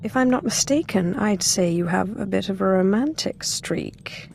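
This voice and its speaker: A woman playing a commanding Sith lord in a video game, poised and measured, teasing with dry flirtation. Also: medium pitch, animated, creaky, darkish tone, a little hiss or hum. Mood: amused, flirtatious. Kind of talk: video game character acting.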